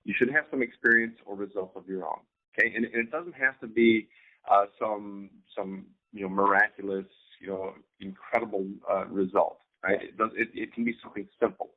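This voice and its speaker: A man with a slightly low voice speaks through a thin, phone-like connection. He coaches informally, doubling back on his words and often checking in with a quick "right?". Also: fairly even intonation. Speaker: co-host, online business coach. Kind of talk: informal instructional talk. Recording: thin, phone-like band-limited sound.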